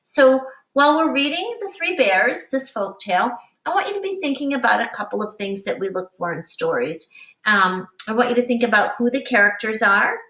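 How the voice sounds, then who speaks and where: A woman teacher reading a picture book aloud to young children in an expressive, instructive way, pausing to explain and addressing them directly. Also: on the high side. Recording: roomy, echoing, distant microphone.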